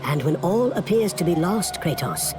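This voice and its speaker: A wry, fatherly old gravedigger in a video game. His pitch swoops about as he teases in riddles, with music playing behind him. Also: big pitch swings, normal speed. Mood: wry, cryptic. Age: older. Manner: cryptic, teasing, fatherly. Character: cryptic old male gravedigger, knowing and wryly amused. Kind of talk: dramatic video game acting.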